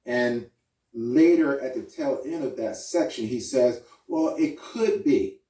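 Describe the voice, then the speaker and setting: A man's voice: medium pitch, takes it slowly, mellow. A man delivers video commentary in an insistent, explanatory way, talking straight to his viewers. The sound is roomy and echoey, and he sits some way from the mic. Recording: roomy echo, distant from mic.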